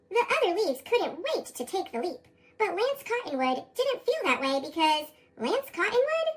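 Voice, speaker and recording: Very high chipmunk-effect American storybook narrator. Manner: animated, expressive. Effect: sped-up chipmunk voice effect. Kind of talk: scripted children's read-aloud narration.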